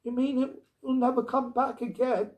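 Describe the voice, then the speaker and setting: A man voicing an excitable, tearful little girl from a storybook, pleading and shrill. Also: normal speed. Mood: anxious. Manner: childlike, pleading, fierce when upset. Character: tearful, excitable little girl who adores her robot. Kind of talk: storybook character reading.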